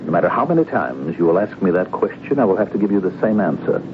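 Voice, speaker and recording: An actor playing a calm foreign secret agent who speaks formally and measuredly, uneasy underneath, in a 1970s radio drama. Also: male voice, deep voice. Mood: cryptic, measured. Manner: measured, enigmatic, formal phrasing. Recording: muffled phone-like old radio recording, hiss.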